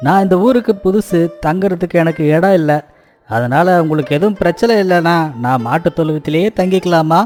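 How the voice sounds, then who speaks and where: A man playing a humble, earnest poor village labourer in an animated moral story, speaking deferentially and pleadingly. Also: a little high, fairly quick, soft background music, lightly acted. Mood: hesitant, respectful.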